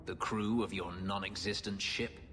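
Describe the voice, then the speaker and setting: A man playing a brooding, bitter freed slave warrior in a video game, speaking in a solemn, measured way. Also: deep voice, fairly even intonation, fairly bright tone. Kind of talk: dramatic video game acting. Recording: long hall reverb, background noise.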